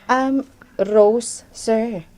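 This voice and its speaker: A woman's voice: fairly high pitch, drawn-out words, a little mellow, faint music behind. A woman with a Filipino accent playing a sweet, polite young female volunteer teacher in a radio drama, with a girlish manner. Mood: shy, polite. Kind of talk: radio drama acting in Ilocano.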